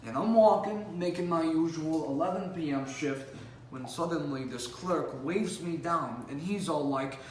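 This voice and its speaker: A man playing a world-weary, animated police officer telling stories from the beat in a theatrical, conversational way with dramatic pauses. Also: fairly high pitch, natural voice. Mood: animated storytelling. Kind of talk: competitive dramatic monologue performance. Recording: roomy, distant mic with faint noise.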